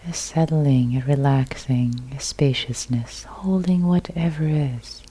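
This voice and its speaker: A woman meditation teacher with a soft, husky, breathy voice, guiding a meditation in sparse, unhurried, soothing phrases. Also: rumbling low, low-quality recording. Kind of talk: guided meditation.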